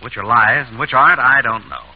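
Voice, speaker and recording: An actor playing an urbane, wry doctor turned amateur sleuth in a 1930s radio drama, suave and dryly polite. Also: male voice, mid-range voice, fairly quick, breathy edge, lightly acted. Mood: weary, wry. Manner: suave, dry, polite questioning. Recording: scratchy, dull old radio broadcast.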